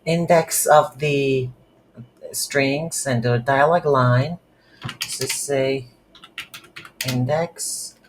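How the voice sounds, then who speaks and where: A game development instructor with non-native English talks slowly through an unscripted coding tutorial. The delivery is halting, thinking aloud at a medium pitch. Some faint noise and keyboard typing sit behind the voice. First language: not English. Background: computer keyboard typing.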